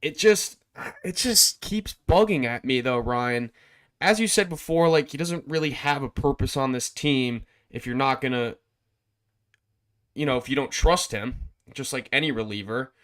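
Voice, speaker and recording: Male sports co-host, medium pitch, clean resonant tone, informal and conversational. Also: normal speed, a bit bright. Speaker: sports talk show co-host. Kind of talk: casual sports discussion.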